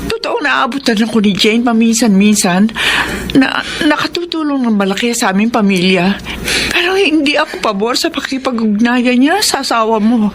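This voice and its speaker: A woman's voice: fairly high pitch, animated, normal speed, lightly acted. A woman playing a humble, guilt-ridden middle-aged mother in a melodrama, pleading hesitantly in a Filipino accent. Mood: defensive. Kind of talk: melodramatic radio drama acting. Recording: noticeable background ambience noise.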